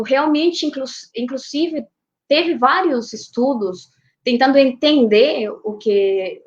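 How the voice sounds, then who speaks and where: A woman from Peru, a Spanish native speaker, speaking Portuguese with a Peruvian accent as a guest urologist in an online panel, measured and often checking in with "né" and "tá". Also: fairly high pitch, darkish tone, dull audio.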